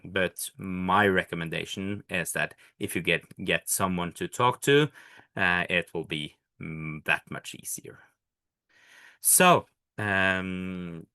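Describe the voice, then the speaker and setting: Low, bright-voiced Norwegian man explaining. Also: no echo, studio quality. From Norway. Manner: hesitant, many fillers and restarts. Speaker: healthcare educator. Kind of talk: casual explanatory lesson.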